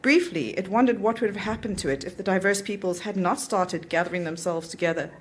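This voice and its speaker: A woman's voice: lowish voice, fairly quick, slight background noise. A woman reading a literary short story aloud at an event, in the narrator's voice. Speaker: author reading own story.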